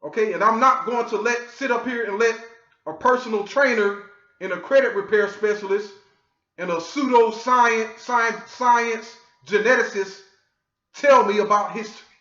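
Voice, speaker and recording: A man from the United States lecturing his viewers in a scolding, forceful way and often asking "okay?". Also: sits high, moderate pace. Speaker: online commentator. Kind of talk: lecturing monologue. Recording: echoey, dull lo-fi audio.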